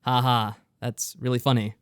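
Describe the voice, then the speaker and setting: A man co-hosting a podcast, explaining things in casual, matter-of-fact review chat with deadpan sarcasm. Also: medium pitch, moderate pace, smooth, no echo. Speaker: podcast co-host.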